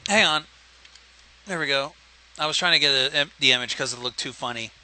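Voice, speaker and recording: Very bright-voiced man, casual co-host. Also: medium pitch, brisk. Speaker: co-host commenting on the story. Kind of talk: casual chat, joking asides.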